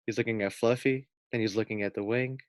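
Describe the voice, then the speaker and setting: A man reading a children's storybook aloud like a teacher, pausing for casual asides and questions to his young listeners. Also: lowish voice, steady tone, no room sound.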